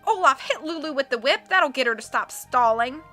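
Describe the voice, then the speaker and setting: A woman's voice: on the high side, animated, a little slow, pushed and bright, faint music behind. A woman voicing a tyrannical, impatient male villain count, scolding and commanding, in a storybook.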